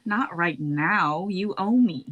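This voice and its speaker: A woman's voice: on the low side, expressive, slow, a bit bright, dull audio, full character voice. A woman voicing Bia, a haughty, scheming mentor who speaks with command.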